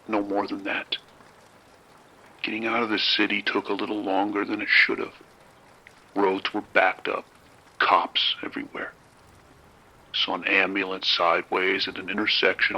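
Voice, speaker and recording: An actor playing a cold, menacing crime boss in an audio drama, with a rough, husky voice and a measured, blunt, threatening manner. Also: male voice, deep voice, sharp tone, full character voice, low-quality recording.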